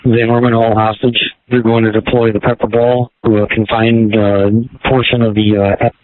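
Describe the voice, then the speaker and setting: A man, a police officer on scene, speaking calmly and politely over radio. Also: fairly low pitch, normal speed, no room sound. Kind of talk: radio traffic. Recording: tinny scanner radio with hiss.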